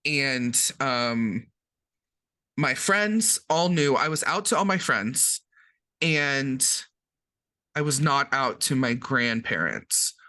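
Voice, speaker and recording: A man's voice: medium pitch, expressive, takes it slowly, pushed and bright, dry recording, studio quality. A man co-hosting a podcast, explaining terms in casual chat with frequent ums and restarts.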